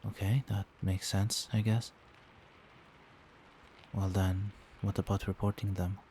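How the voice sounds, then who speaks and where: A man playing a doting, protective vampire boyfriend in a soft-spoken, soothing and attentive ASMR roleplay. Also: fairly low pitch, flat delivery, slow pace, a little hiss or hum. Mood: tender, concerned. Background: rain.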